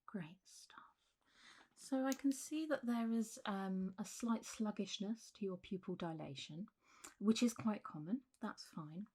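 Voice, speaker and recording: Soft spoken lady acting as a calm doctor for an asmr roleplay, gentle, reassuring, slips into whispering, normal mid pitch. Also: unhurried, breathy edge. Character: calm, reassuring female clinic doctor for zombie patients. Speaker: ASMR performer.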